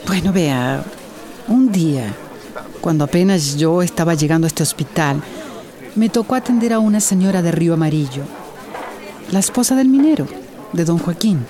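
An actress playing a troubled, defensive woman doctor in a radio drama, with a halting way of talking. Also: fairly low pitch, expressive, warm tone, close to an everyday voice. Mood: troubled, hesitant. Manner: halting, hesitant, repeats words.

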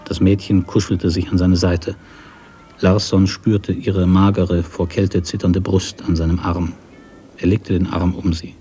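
Low, mellow male literary narrator. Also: little pitch movement, unhurried, slight background noise. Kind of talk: literary prose narration for a radio drama.

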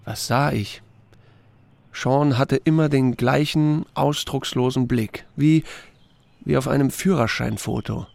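A man's voice: mid-range voice, takes it slowly, creaky, a little mellow, no echo. A man playing a reserved small-town sheriff in a German radio drama, narrating and speaking in terse, understated lines. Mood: guarded, uncertain.

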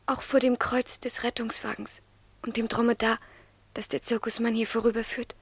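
Radio drama ingénue: young, submissive, breathy and fairly high, recorded very dry. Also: female voice, flat delivery, normal speed, no room sound. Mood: fervent, hushed. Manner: yielding, fervent, often whispering. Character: devoted, submissive young woman in love, Jennifer. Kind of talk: 1970s radio drama acting.

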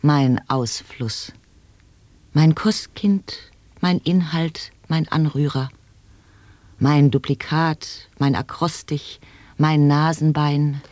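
A woman reading experimental sound poetry in a radio play, taking each word slowly and deliberately in a dry studio. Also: sits low, fairly even intonation, darkish tone, no room sound. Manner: slow, deliberate word-by-word delivery.

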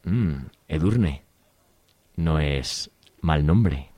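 A man's voice: very deep voice, lively intonation, extremely slow, warm tone, slightly rough, no echo, full character voice. A man with an accent from Spain playing a young, easygoing activist in an amateur radio serial, colloquial and hesitant. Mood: musing. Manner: colloquial, hesitant, repeats words. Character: young male activist, easygoing, dismisses worries, curious.

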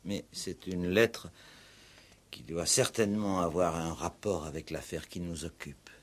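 A man playing a gruff, insistent police commissioner in a 1960s French-language radio play, with colloquial, probing talk and hesitant repetitions. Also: deep voice, steady tone, measured pace. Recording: vintage 1960s radio drama recording.

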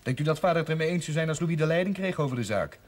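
An actor playing a stubborn, resentful young grocer in a 1970s television drama, blunt and terse. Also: male voice, on the high side, expressive, very fast. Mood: determined. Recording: noisy 1970s television soundtrack.

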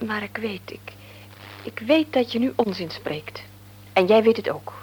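A woman's voice: mid-range voice, expressive, a little slow, darkish tone, a little hiss or hum, lightly acted, poor audio. An actress playing a middle-aged devout mother in a radio play, pleading and often dropping to a whisper. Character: devout mother, gentle but firm in faith, middle-aged woman. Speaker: mother and wife.

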